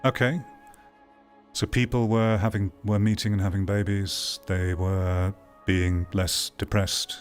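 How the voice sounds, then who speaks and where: A man's voice: on the low side, level tone, unhurried, faint music behind. A man giving off-the-cuff, opinionated critical commentary as a streamer, stressing points by repeating phrases. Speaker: streamer hosting a VR gaming commentary show.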